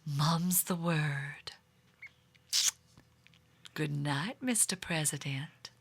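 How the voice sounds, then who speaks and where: A woman's voice: low-pitched, husky, heavily acted. An actress playing an amorous, theatrical middle-aged First Lady in an audio sitcom, coy and melodramatic. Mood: coy, indulgent. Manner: coy, melodramatic, drawn-out delivery.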